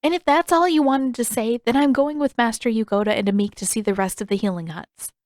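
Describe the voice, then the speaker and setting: A woman voicing an angry, defiant teenage girl in a fantasy audiobook, sharp and challenging. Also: a little high, fairly quick, no echo, studio quality, pitched above the performer's own voice, natural voice.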